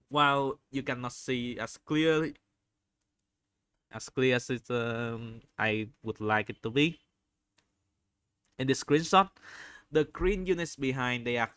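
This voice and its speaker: A man giving unscripted video commentary, halting often as he searches for words and repeats phrases. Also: mid-range voice, expressive, fairly bright tone, clean tone, no room sound, clean studio sound. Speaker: video host reviewing game screenshots.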